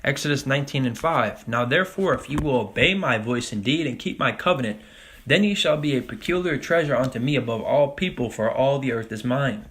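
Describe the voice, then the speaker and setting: A man teaching a Bible study, talking casually with frequent "all right?" and reading scripture passages slowly and deliberately. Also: mid-range voice, a bit bright, slight background noise. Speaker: religious teacher.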